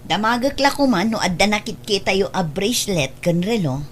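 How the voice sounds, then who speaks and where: A woman's voice: mid-range voice, sing-song, a little fast, a little hiss or hum, lightly acted. A woman acting in an Ilocano radio drama as a matter-of-fact mistress of the house, with an Ilocano accent. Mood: reassuring, doubtful. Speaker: drama actor.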